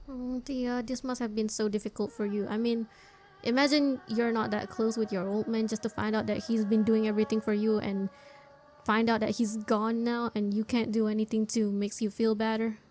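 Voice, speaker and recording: A woman's voice: fairly high pitch, flat delivery, moderate pace, a little mellow. A woman gamer giving casual, sympathetic playthrough commentary, talking to the characters on screen. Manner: talks to the game characters, sympathetic. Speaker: gaming streamer.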